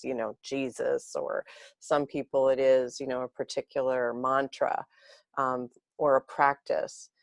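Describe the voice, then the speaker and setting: A woman's voice: extremely low pitch, vocal fry, no echo. A woman guest speaking earnestly and reflectively, building long flowing thoughts in conversation. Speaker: interview guest.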